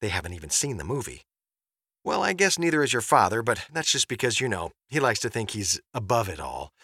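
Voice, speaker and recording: A man voicing an excitable, chatty, flustered middle-aged mother in an audiobook, gushing and rambling through phone messages. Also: lowish voice, fairly quick, slightly rough, dry recording, studio quality, voice pushed up, exaggerated voice. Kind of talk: audiobook character voice.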